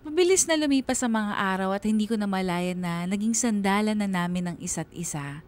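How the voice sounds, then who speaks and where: A woman's voice: medium pitch, a little fast, natural voice. A woman playing a reflective single mother who narrates her own story in a radio drama. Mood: fond, reflective. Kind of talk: dramatized first-person narration.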